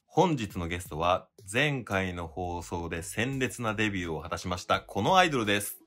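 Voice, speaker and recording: A man's voice: low-pitched, sing-song, a little fast, a bit bright. A man, a radio show host, talking in a chatty hosting style.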